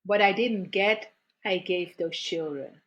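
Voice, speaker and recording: A middle-aged woman, a guest therapist, telling her personal story in conversation, speaking haltingly and repeating words as she searches for her thoughts. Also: lowish voice, lo-fi sound.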